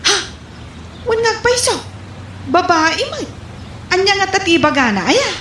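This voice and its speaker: A woman with a northern Philippine accent playing a bashful, excitable young friend in a comedy radio drama, full of questions and exclamations. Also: very high voice, measured pace, a little hiss or hum, full character voice. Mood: puzzled, curious. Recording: roomy echo, music behind.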